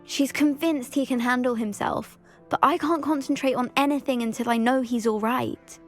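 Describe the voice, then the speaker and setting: A woman's voice: sits high, fairly even intonation, moderate pace, soft background music, close to an everyday voice. An actress playing an anxious, earnest teenage schoolgirl in a video game, well-spoken. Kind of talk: video game cutscene acting.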